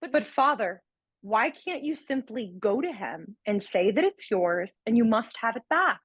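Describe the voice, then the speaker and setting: A woman's voice: on the high side, animated, measured pace, husky, no echo. An actress playing a breezy, affectionate young engaged woman in a comic radio play, speaking in an earnest, chatty way. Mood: pleading. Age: young adult.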